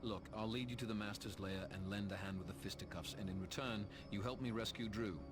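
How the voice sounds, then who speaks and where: An actor playing a cynical, cocky English vampire in a video game cutscene, sardonic and full of slang. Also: male voice, fairly low pitch, fairly quick, natural voice. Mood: scheming, wry. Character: cynical, cocky British male vampire, roguish reluctant ally.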